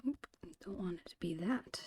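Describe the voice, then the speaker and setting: A woman's voice: on the low side, lively intonation, moderate pace, a little mellow, no room sound. A woman whispering in an ASMR style, casually thinking aloud in short phrases as she narrates her own digital painting. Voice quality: breathy whisper.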